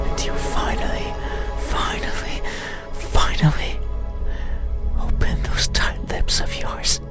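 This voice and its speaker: A man plays an eerie, lonely creature from a horror game that craves to be seen. It speaks entirely in a breathy whisper, very slowly, with brooding, deliberate pauses. Loud music runs underneath the voice. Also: natural voice. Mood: yearning, obsessive. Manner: slow, halting, brooding, deliberate phrasing. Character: lonely, eerie wordless monster craving to be seen.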